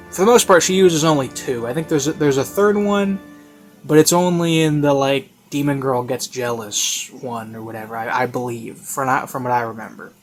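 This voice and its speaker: A man giving a casual, rambling review, hesitant and conversational, often rephrasing himself mid-thought. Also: medium pitch. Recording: classical music playing behind. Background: baroque classical music.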